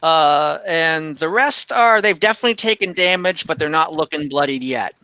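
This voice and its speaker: Bright forceful voice, game master, tabletop narration, conversational and explanatory, dull lo-fi online audio, dry. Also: medium pitch, expressive, normal speed, smooth, no echo.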